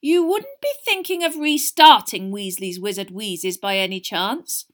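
A woman voicing a brisk, sharp-tongued middle-aged mother who scolds and bosses in a storybook reading. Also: high voice, big pitch swings, voice pushed up. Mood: sharp, suspicious.

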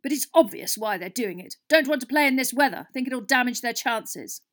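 A woman voicing an intense, anxious teenage boy sports captain in an audiobook, speaking urgently and forcefully. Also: mid-range voice, moderate pace, close to an everyday voice. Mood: angry. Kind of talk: audiobook character voice.

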